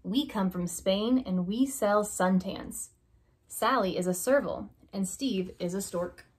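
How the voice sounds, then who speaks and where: A young woman reading a children's alphabet picture book aloud, slow and deliberate, stressing each opening letter, in a small room. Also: mid-range voice, breathy edge. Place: small room indoors by a curtained window.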